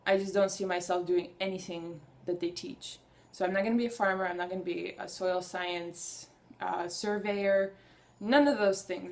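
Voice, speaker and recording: Earnest, enthusiastic young woman vlogger. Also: mid-range voice. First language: Hungarian. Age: young adult. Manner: earnest, enthusiastic, rambling with restarts. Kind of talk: casual personal vlog.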